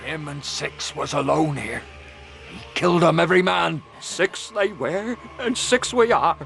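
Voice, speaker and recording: A man playing a gloomy, fearful pirate hand with a creaky voice in a radio drama. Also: fairly high pitch, expressive, a little slow, fairly bright tone, faint music behind, full character voice.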